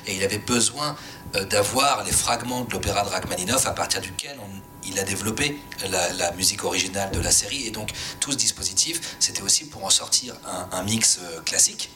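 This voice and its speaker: A man's voice: low voice, brisk, music in the background. A man speaking as a creator on a panel, explaining his project informally and often rephrasing himself. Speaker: series creator and writer on a panel.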